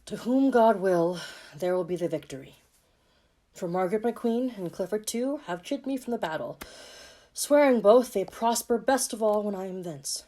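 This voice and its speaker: A woman playing a gentle, war-weary king in a Shakespearean audio drama, speaking mournful, measured verse. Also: medium pitch, lively intonation, natural voice. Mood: melancholy musing.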